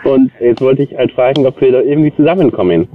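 A man speaking on the phone in a halting, hedging, somewhat apologetic way. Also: mid-range voice, lively intonation, recorded from a distance, phone-quality sound, very noisy. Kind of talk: hesitant phone conversation. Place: on the phone from a hotel room.

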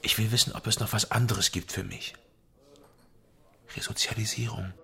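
An actor playing a wary, sober former drinker and amateur investigator in a radio drama, speaking in a dry, restrained way. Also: male voice, fairly low pitch, steady tone, moderate pace, gravelly, pushed and bright. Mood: guarded. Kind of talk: radio drama acting with first-person narration.

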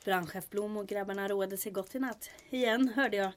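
An actress playing a quick-witted town secretary in a comic audio drama, composed and gently teasing with dry irony. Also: female voice, mid-range voice, natural voice. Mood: dry, businesslike.

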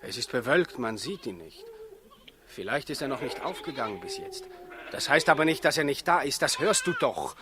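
An actor playing an exasperated, level-headed shepherd in a 1960s radio drama, urgent and commanding, on an old recording. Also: male voice, mid-range voice, sing-song, normal speed, crowd noise, lightly acted. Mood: reasoning, impatient. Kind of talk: 1960s German radio play acting.

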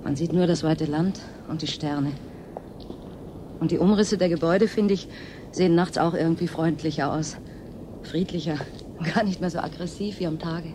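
Weary woman over clear background noise acted. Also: low-pitched, fairly even intonation, moderate pace, lightly acted. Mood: wistful. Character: weary, sharp-tongued, wistful woman researcher at isolated station. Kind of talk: German radio drama acting. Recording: clear ambient noise behind.

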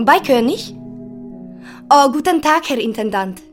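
A woman's voice: high-pitched, animated, unhurried. An actress playing a polite, deferential woman answering the telephone, courteous and careful, in a German radio play. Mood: polite, apologetic. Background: music.

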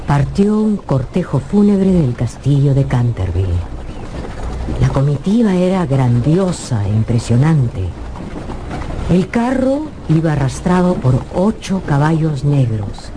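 A man narrating a classic story for a radio drama in an expressive storytelling manner. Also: on the high side, background noise.

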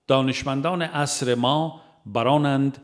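A man narrating a religious audiobook in a measured, formal way, phrase by phrase. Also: medium pitch, steady tone. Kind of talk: scripted audiobook reading of a religious book. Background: music.

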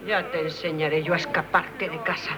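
A woman's voice: sits low, flat delivery, brisk, lightly acted. An actress with a Castilian Spanish accent playing a scolding, lamenting mother in 1970s radio theatre. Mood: scornful anger. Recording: 1970s radio drama with music behind.

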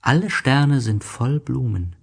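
A man playing a gentle, wistful young boy in a German radio play, speaking slowly, earnestly and tenderly. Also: mid-range voice, lively intonation, creaky, husky, heavily acted. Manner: slow, earnest, tender, simple phrasing.

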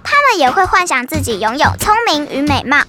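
A woman voicing a clever little girl in a children's animated show, teasing her friends and explaining ideas like a lesson. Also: sits high, a little fast, music in the background.

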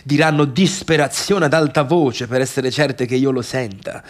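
An actor playing a middle-aged failed pianist, bitter and obsessive, in a scornful, sarcastic theatrical monologue about the sister who left him. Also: male voice, medium pitch, moderate pace, lightly acted. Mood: brooding, sardonic. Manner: scornful, sarcastic, brooding, swinging between muttering and outbursts.

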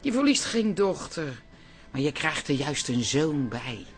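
An actor playing a chatty, encouraging pub regular in a Dutch radio comedy. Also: male voice, fairly high pitch, big pitch swings, measured pace, soft background music.

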